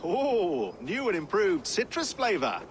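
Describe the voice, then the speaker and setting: Dry-witted teammate, faint noise. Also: male voice, high voice, wildly swooping pitch, measured pace, full character voice. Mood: dry teasing. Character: dry, pedantic, affectionate male teammate with deadpan wit. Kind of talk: naturalistic video game acting.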